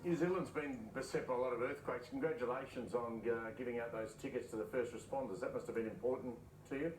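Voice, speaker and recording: A man's voice: medium pitch, fast talker, a little mellow, echoey room, recorded from a distance, a little hiss or hum, poor audio. A man hosting a TV panel show, teasing and jokey in casual chat. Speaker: TV panel host.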